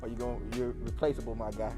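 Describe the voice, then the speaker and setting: A man's voice: medium pitch, level tone, racing. A man, a basketball coach, talking plainly about his team in a casual interview. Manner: plain-spoken, uses coaching cliches, teasing. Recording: clear music in background.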